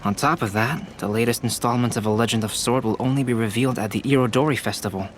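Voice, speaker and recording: A man voicing a calm, analytical young male alchemist and illustrator in a video game, soft-spoken and logical. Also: mid-range voice, fast talker, soft background music, lightly acted.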